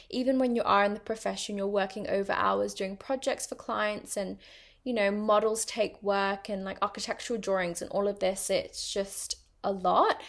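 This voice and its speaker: A woman's voice: medium pitch, a bit bright. Young woman, British-tinged accent, casual podcast monologue, rambling. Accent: mixed British and international. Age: young adult. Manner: chatty, many ums and likes, rambling. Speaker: podcast host.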